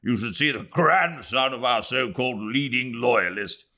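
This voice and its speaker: A man with a British accent playing a loud, crude, blustering older English army major. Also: mid-range voice, a little slow, pitched above the performer's own voice. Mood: brutal, gloating.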